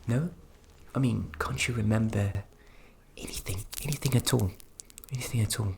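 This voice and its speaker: A performer voicing a flustered, kindly fairy magic specialist in a fantasy ASMR roleplay, speaking softly and hesitantly, often dropping into a whisper. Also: medium pitch, vocal fry, slight background noise, lightly acted. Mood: helpful, gentle. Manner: hesitant, halting, repeats words, often whispering.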